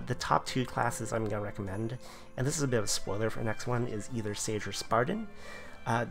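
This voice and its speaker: A man reviewing a game class in casual video commentary, his voice going hoarse, rambling with lots of fillers. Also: mid-range voice. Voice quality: gravelly, voice wearing thin. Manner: rambling, filler-heavy explanations. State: losing his voice.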